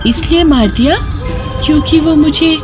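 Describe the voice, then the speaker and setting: A woman voicing a demonic little witch girl, furious and out for revenge, shrieking drawn-out threats in a horror storybook tale. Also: music in the background. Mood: vengeful fury. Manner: shrill drawn-out threats, shouting. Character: demonic witch baby girl, furious, avenging her mother.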